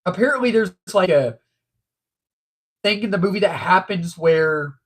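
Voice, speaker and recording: A man's voice: high voice, lively intonation, unhurried, husky. A man hosting a casual movie news livestream, stuffed up from allergies, rambling and repeating himself with frequent ums.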